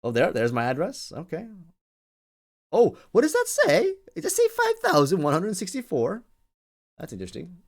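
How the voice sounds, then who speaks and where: A man's voice: high voice, huge pitch swings, moderate pace, long pauses. Man casually hosting a livestream. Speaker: livestream host. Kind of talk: casual live commentary to viewers.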